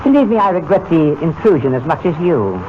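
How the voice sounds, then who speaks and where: Invisible menace, politely threatening, high voice with swooping emphasis; muffled vintage radio serial. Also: male voice, big pitch swings, brisk. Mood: falsely concerned, urging. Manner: insistent, falsely polite warnings. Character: smooth menacing invisible male villain, falsely solicitous. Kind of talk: 1940s radio drama acting. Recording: noisy, muffled old radio broadcast.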